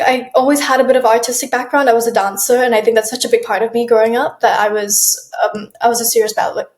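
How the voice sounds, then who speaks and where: A young woman from Malaysia talks casually as an interview guest, her voice a little high. She restarts her sentences often and laughs softly between them. The sound is roomy, with an echo around her. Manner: chatty, with frequent restarts and soft laughs. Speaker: guest, aspiring model.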